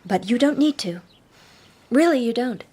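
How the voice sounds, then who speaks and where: An actress playing a witty young socialite detective, arch, playful and genteel in a period radio drama. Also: female voice, a little high, lively intonation, moderate pace, a little mellow, husky. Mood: arch, coy.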